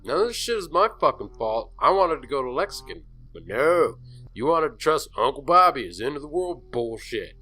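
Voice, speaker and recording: Sardonic, hot-tempered young guy played by a man, bright forceful voice, animated pitch, horror audio drama. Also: moderate pace. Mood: irritated. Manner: emphatic, sweary, sardonic. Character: hot-tempered, sarcastic young male driver. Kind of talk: audio horror story acting.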